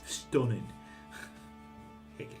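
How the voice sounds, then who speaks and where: Laid-back man streaming tarot. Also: soft background music. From Greece. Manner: relaxed and profane, with quick laughs. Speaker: live tarot reading streamer. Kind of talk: casual live-stream chat, reading comments aloud.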